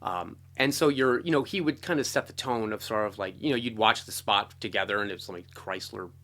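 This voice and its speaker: A middle-aged American man with a fairly low voice talks as a guest in a relaxed interview. He tells stories enthusiastically and loosely, circling back and peppering his phrases with "like" and "you know". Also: brisk. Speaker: interview guest, film and television composer. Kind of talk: casual conversational storytelling.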